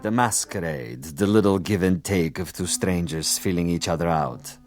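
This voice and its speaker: An actor playing a suave, menacing commissar in a radio drama, urbane and mocking with elaborately formal diction. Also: male voice, low voice, moderate pace, a little mellow, faint music behind. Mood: mocking menace. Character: suave, menacing male commissar interrogating a former prisoner.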